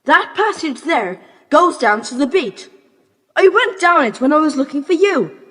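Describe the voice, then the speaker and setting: A woman's voice: high voice, expressive, normal speed, fairly bright tone. A woman playing a plucky, earnest young local child in a children's audio adventure. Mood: eager, urgent. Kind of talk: children's audio play acting. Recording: long reverb, some noise.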